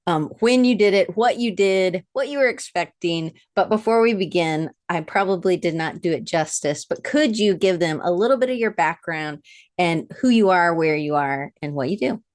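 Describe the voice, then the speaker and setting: A woman hosting a live interview stream, with a slightly low voice. She rambles in a chatty way and greets her viewers as she goes. The recording is close and dry, with no room sound. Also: moderate pace, dry recording. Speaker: podcast host.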